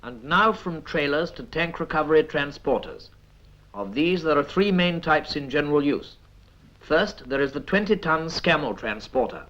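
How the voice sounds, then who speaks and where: A British man reads scripted 1950s military training film narration in a measured, instructive manner with dry asides. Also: on the high side, animated, a bit bright. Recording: dull vintage 1950s film soundtrack.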